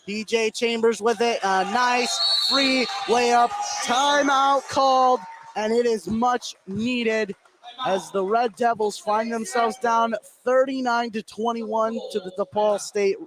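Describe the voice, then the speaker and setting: A man's voice: very high-pitched, steady tone, slow pace. A man giving live play-by-play basketball commentary on the radio, narrating the action in running, conversational fragments. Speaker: play-by-play announcer. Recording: clear ambient noise behind commentary.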